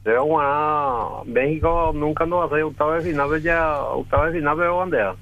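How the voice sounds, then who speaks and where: A man, rambling about football on a radio show in a halting, slangy way. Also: fairly high pitch, a bit bright, highs cut off. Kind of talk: casual football talk on radio.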